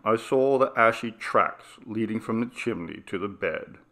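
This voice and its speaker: A man narrating a classic ghost story in a measured, hushed reading style. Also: lowish voice, gravelly. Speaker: audiobook narrator.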